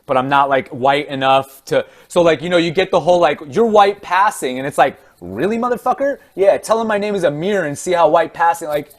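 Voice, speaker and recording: A young man from California hosting a casual conversation, relaxed and full of fillers like "you know". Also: fairly high pitch, a little fast, few pauses. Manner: relaxed, filler-heavy, mimics others. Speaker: podcast host.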